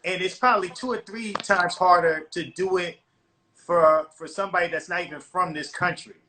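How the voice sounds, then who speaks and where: A man from the United States hosting a casual interview, relaxed and laughing along, often calling his guest bro. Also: sits high, normal speed, a little hiss or hum, poor audio. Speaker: radio and streaming host interviewer.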